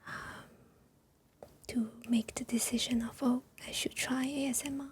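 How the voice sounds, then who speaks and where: A woman whispering gently in an ASMR chat, pausing often with soft fillers, a Chinese speaker talking in English. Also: high-pitched, level tone, husky. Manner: soft unvoiced whisper with many pauses and fillers. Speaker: ASMR creator.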